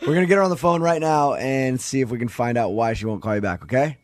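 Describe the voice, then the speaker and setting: A male American radio host with a medium-pitched voice. He banters playfully and teases, in a jokey morning-show style, recorded close with very little room sound. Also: quick pace, no room sound.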